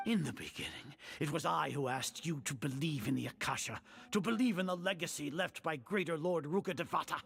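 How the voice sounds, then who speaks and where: A man's voice: fairly high pitch, a little fast, creaky, few pauses, fairly bright tone, faint music behind. An actor playing an imperious, suspicious older male grand sage in a video game, speaking formally and commandingly as he grows rattled. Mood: stern. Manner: commanding, formal, questioning himself aloud.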